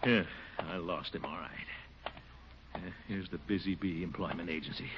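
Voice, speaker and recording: An actor playing a pompous, easily flustered middle-aged city official in a 1940s radio comedy. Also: male voice, low-pitched, normal speed, natural voice. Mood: grumbling. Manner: flustered, blustering, mutters to himself. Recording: muffled old radio broadcast with hiss.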